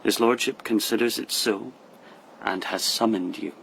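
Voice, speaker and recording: An actor playing a reserved, curt court messenger in a radio drama. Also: male voice, fairly low pitch, unhurried, creaky, a bit bright, a little hiss or hum, rough recording. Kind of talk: British radio drama acting.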